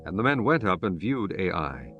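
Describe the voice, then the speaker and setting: A man reading scripture as the narrator of a dramatized audiobook, in a solemn, measured manner. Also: low-pitched, very animated, lo-fi sound. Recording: music behind the voice.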